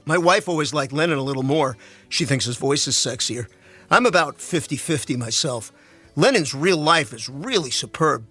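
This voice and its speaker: Actor as genial man, affable conversational delivery, bright edge, rough unclear tone, radio-style fiction reading. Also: a little high, expressive, normal speed, soft background music, full character voice. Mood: amused, interested. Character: genial married man, politically minded science fiction fan. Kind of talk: dramatic reading of novel dialogue.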